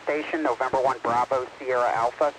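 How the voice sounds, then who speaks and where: Give me a monotone male radio operator making clipped calls through heavy noise. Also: mid-range voice, fairly quick. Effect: radio transmission. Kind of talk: clipped radio call exchange. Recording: very noisy ham radio satellite transmission.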